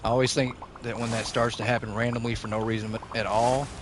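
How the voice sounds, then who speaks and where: A man hosting a gaming channel, chatting casually over gameplay in a rambling, jokey way full of fillers, his voice going slightly hoarse. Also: medium pitch, flat delivery, fast talker. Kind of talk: casual let's-play commentary. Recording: noisy background under the voice.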